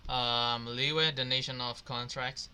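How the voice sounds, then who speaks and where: A man's voice: medium pitch, steady tone, slow, sharp tone. A man doing casual let's play commentary, musing hesitantly and reading choices aloud. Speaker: gamer playing and reacting.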